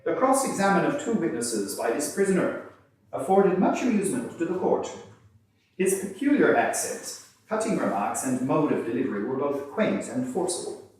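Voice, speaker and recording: A man's voice: fairly high pitch, big pitch swings, normal speed, a little mellow, voice pushed up. Echoey, formal old-time court reporter voiced by a man. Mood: formal, proclaiming. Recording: distant, very echoey room.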